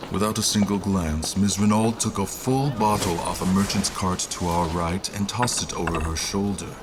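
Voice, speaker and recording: A man playing a weary, dignified nobleman traveler in an audio drama, narrating his journey in formal, measured, old-fashioned phrasing. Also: low voice, background noise. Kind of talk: scripted first-person story narration.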